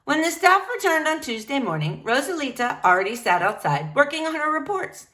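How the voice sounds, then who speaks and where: A woman reading a picture book aloud to young children in an expressive, animated way. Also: high-pitched, fairly quick. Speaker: storybook reader.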